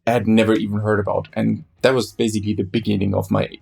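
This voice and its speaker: A man from Austria, a German speaker, talking as a guest in a conversational interview, often halting and repeating words. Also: lowish voice, flat delivery, creaky, darkish tone, slightly rough. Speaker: guest beer historian.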